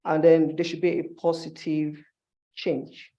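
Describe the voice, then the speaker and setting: A man's voice: a little high, monotone, unhurried, mellow, muffled recording. A man giving an instructive conference presentation in short, emphatic phrases, as a university staff member.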